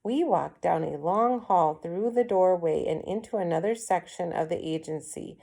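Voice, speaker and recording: A woman's voice: on the low side, moderate pace, creaky, mellow. A woman reading a children's story aloud as a first-person narrator. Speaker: read-aloud reader.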